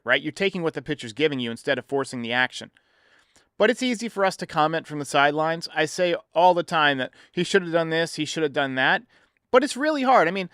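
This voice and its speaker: Male sports podcast host, slightly high voice, dry close sound, conversational and explanatory. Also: a bit bright, clean tone, dry recording. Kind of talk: casual baseball commentary and call-in talk.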